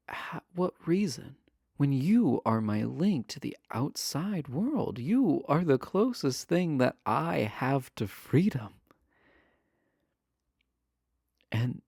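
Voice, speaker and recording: An actor playing a shy, adorkable imprisoned villain in a romance audio roleplay, nervous, earnest and stammering. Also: male voice, medium pitch, a little mellow, slightly rough, no echo, studio quality, exaggerated voice. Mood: earnest, hesitant. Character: shy, adorkable imprisoned male villain, smitten with pen pal.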